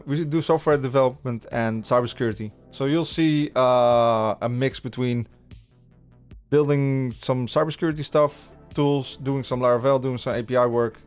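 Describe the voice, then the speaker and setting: A Dutch man, speaking English as a second language, talks loosely to his stream viewers at an ordinary middle pitch, stumbling over restarts and repeating words as he thinks aloud. Faint music runs underneath his close, clean podcast-mic sound. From Netherlands. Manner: rambling, many restarts, chatting with viewers. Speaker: livestream coding host. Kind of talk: casual live-coding stream chat. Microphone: studio podcast mic.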